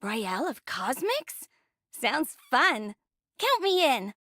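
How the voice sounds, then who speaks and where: Excitable anime game child. Very high, piercingly bright, boastful. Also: female voice, takes it slowly, no room sound, studio quality, heavily acted. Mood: curious, eager. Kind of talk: English anime game voice acting.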